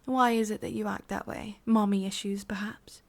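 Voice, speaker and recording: A woman's voice: mid-range voice, fairly even intonation, normal speed, close to an everyday voice. A woman with an English accent playing a sadistic, condescending boss in an ASMR roleplay, whispery and menacing. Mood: scornful, irritated. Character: sadistic, domineering female boss scolding an employee.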